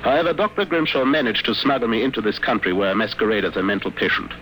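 A pompous, theatrical schemer, voiced by a man with a mid-pitched voice, grand and self-important, which can turn coldly menacing. An old radio recording with a faint hiss beneath it. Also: fast talker, pushed and bright, close to an everyday voice, rough recording. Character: sly German scientist posing as a pompous mental patient. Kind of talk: 1940s radio acting.

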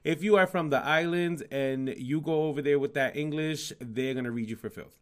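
A man's voice: a little high, moderate pace. A Puerto Rican man from the Merrimack Valley area, chatting casually. He laughs often and chimes in agreeably. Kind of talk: casual chat.

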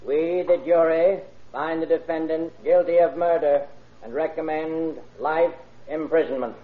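An actor playing a formal court official reading out solemnly and slowly in 1930s radio style. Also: male voice, on the high side, fairly even intonation, narrow, tinny audio, lightly acted. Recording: band-limited old radio broadcast.

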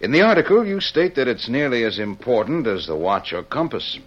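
A man's voice: medium pitch, big pitch swings, brisk, slightly rough. A man with an American accent playing a polite, formal businessman in an old radio drama, on a muffled, noisy recording. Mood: courteous, inquiring. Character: courteous, formal, well-dressed male businessman visitor.

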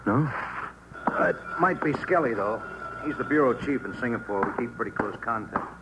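An actor playing an earnest, uneasy war correspondent with a questioning, conversational manner in an old-time radio drama. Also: male voice, medium pitch, lively intonation, moderate pace, close to an everyday voice. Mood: matter-of-fact. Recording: muffled vintage radio with faint noise.

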